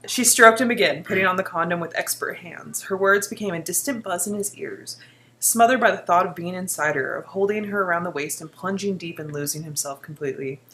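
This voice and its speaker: A woman's voice: on the low side, fairly quick, fairly bright tone, a little hiss or hum. A woman reading a book excerpt aloud informally, with a playful, giggly manner and off-the-cuff asides. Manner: giggly, playful, often breaking off.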